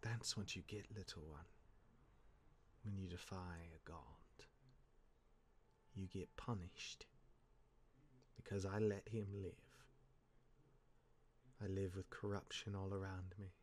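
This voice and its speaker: A man playing a cursed demigod, a wry mentoring older brother who speaks in measured, dramatic pauses in an audio drama. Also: deep voice, steady tone, slight background noise. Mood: grave, resigned. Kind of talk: dramatic audio roleplay dialogue.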